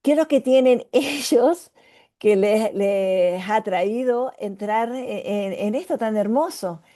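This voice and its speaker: A woman's voice: medium pitch, slow, mellow. A woman guest from a ministry answering in conversation, hesitant and searching for words.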